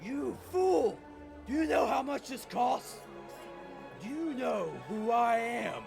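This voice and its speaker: A man's voice: extremely high pitch, animated, takes it slowly, gravelly, over music, full character voice. A man playing an indignant, self-important wealthy guest in an audio drama, berating in haughty outrage. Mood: outraged.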